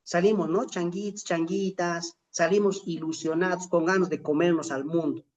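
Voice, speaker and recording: A middle-aged Bolivian lecturer speaks at a medium pitch in a reflective, motivational style. The delivery is emphatic and rhetorical, with questions put to fellow teachers and key phrases drawn out for weight. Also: steady tone, moderate pace, darkish tone, muffled recording. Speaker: lecturer in an online teacher-training course.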